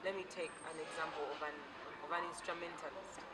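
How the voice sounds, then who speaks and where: A Ugandan woman whose first language is Luganda, an eco artist thoughtfully explaining her work, pausing and restarting phrases. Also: low-pitched, fairly even intonation, over a noisy crowd. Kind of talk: casual explanation to camera. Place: outdoors in a city. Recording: distant mic, loud traffic noise outdoors.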